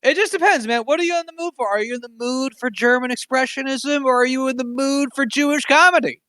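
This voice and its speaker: A man's voice: very high-pitched, fast talker, sharp tone, no room sound. A man co-hosting a casual sports podcast, talking in a wry, sarcastic, rambling way with plenty of fillers. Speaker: podcast co-host.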